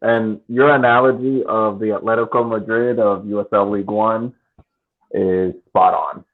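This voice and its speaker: A man chatting casually and haltingly as a podcast co-host over a remote internet call. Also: on the low side, steady tone. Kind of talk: casual chat. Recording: muffled, thin phone-like internet connection.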